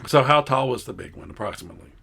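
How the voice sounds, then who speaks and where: Animated, teasing mock news reporter, played by a man. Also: mid-range voice, very fast, vocal fry, breathy edge, heavily acted. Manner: teasing, skeptical, breaks into laughter. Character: female TV news reporter Mary McBigbottom, folksy, incredulous, jokey. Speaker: interviewer. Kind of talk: improvised mock news interview.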